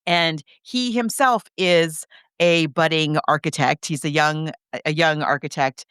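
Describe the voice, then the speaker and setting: A woman co-hosting a casual book discussion, recapping a story in an animated, chatty way with amused asides. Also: deep voice, a little slow, smooth, dry recording, studio quality. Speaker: podcast co-host.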